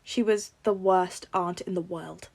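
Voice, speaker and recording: A woman narrating fan fiction in an expressive storytelling style. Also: lowish voice, a little slow, gravelly, husky, no room sound. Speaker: podfic reader.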